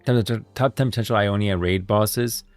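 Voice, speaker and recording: Deep-voiced man chatting on a stream. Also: moderate pace, faint music behind. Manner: rambling, thinking aloud. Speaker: livestream host. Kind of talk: casual livestream chat about game lore.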